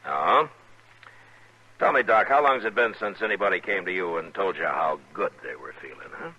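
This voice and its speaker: An actor playing a stoic, gruff American frontier marshal with a dry, terse, husky delivery in a western radio drama. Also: male voice, sits low, lively intonation, moderate pace, pushed and bright, heavily acted. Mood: dry, relaxed. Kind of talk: 1950s western radio acting. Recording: muffled old radio broadcast.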